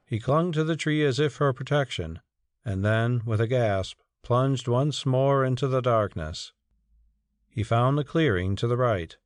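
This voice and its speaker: A man's voice: medium pitch, lively intonation, unhurried, dry recording, clean studio sound. A man narrating a story as a scripted, dramatic audiobook reading.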